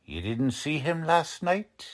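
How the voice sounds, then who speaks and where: An actor playing a gruff, sarcastic, tough police lieutenant with an American accent in 1940s radio style, blunt and slangy. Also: male voice, on the high side, sing-song, slow pace. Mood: probing.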